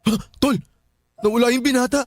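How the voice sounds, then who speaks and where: High-voiced, jittery young Filipino man. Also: fairly even intonation, fairly quick. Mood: startled, baffled. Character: jittery young male friend, easily spooked and curious. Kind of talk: Tagalog radio drama acting. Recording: music bed behind the voice.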